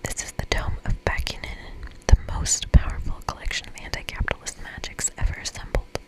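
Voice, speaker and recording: A woman's voice: a little fast, close to an everyday voice, rough recording. A woman voicing an indignant, earnest young female rebel spellcaster in a whispered game read-aloud. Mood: earnest, determined. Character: fuming young female camper, defiant anti-capitalist spellcaster.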